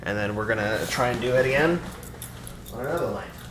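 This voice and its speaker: A man with a raspy voice chatting casually on a live stream, rambling through explanations with lots of fillers and restarts. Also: medium pitch, brisk. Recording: echoey room with background noise.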